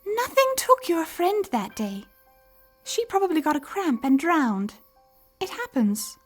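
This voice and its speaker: A woman's voice: very high-pitched, sing-song, measured pace, faint music behind, heavily acted. A woman voicing a refined young female unicorn, genteel, soothing and skeptical, in a dramatized horror story. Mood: gentle consoling. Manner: genteel, coaxing.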